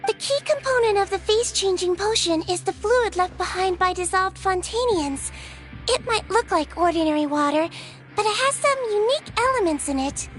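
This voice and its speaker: A small nonhuman head nurse explains things in a gentle, composed and clinical way. Her voice sits very high, with soft game music underneath. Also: lively intonation, normal speed. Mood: calm. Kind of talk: video game voice acting.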